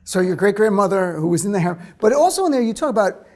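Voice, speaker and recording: A man's voice: high-pitched, very animated, fast talker, a little mellow. A middle-aged man hosting a studio interview, asking friendly, conversational questions into a lapel mic. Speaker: interview host. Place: small studio set, indoors.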